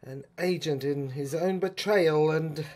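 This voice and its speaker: A man narrating a serial audio drama in a poetic, brooding style, with halting phrases and pauses. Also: fairly high pitch, darkish tone.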